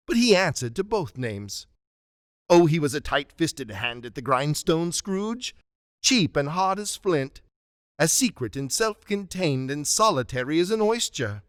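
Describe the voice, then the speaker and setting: A man narrating a radio drama as a storyteller, with dramatic, deliberate phrasing. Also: on the high side, sing-song, no echo, studio quality.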